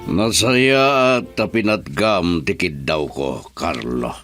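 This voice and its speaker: A man's voice: low-pitched, lively intonation, slow, fairly bright tone, faint music behind, heavily acted. A man acting a wealthy, stern, condescending father in a radio drama, with a Filipino accent. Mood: stern, scornful. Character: wealthy, haughty, disapproving father of a young woman.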